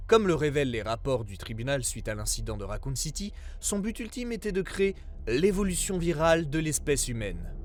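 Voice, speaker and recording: A man reading a scripted investigative report as narrator, in a formal, measured way. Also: fairly high pitch.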